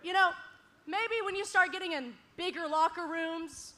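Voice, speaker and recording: Brief: female wrestling talk host, sharp bright tone, mocking. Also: very high voice, moderate pace. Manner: sarcastic, mocking, needling. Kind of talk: wrestling talk show promo. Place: indoor arena.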